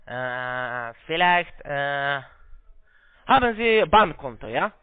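A man with a foreign accent giving a halting, repetitive, polite phone sales pitch in broken, non-native German. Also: big pitch swings, smooth, no room sound. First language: not German. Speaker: call center investment salesman on a scam call. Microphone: phone call. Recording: narrow-band phone line sound.

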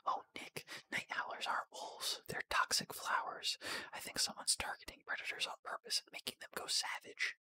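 Reader doing an earnest young girl bunny cop from a cartoon, apologetic, all breathy whisper. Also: normal speed, sharp tone. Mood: urgent. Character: earnest, remorseful young female rabbit officer.

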